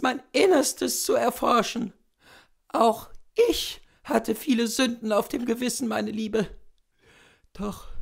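Man voicing a plaintive dying gentlewoman, pitched high. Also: vocal fry, full character voice. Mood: self-pitying. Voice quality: weak, plaintive. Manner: fervent, dramatic lamenting. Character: dying gentlewoman, pious, self-pitying, fretful and reproachful.

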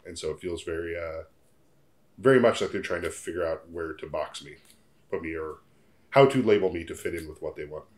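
A man's voice: deep voice, fairly quick. An American-sounding man in his mid-thirties reflecting on his own experiences in an interview. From Portugal and United States. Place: indoor living room, seated in armchair.

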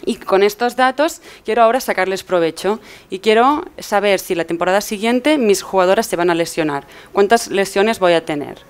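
A young woman from explains technical material as a conference speaker. Her voice is smooth and clean, at a middling pitch. She feels her way through phrases and often tags them with a questioning check for agreement. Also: animated, moderate pace, hardly any pauses. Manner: hesitant, often seeking agreement with tag questions. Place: medium-sized indoor event room with seated audience.

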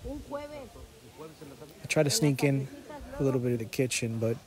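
A man in his thirties narrating a casual food vlog with deadpan, joking storytelling. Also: medium pitch, takes it slowly, faint music behind. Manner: deadpan, jokey. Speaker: food vlogger.

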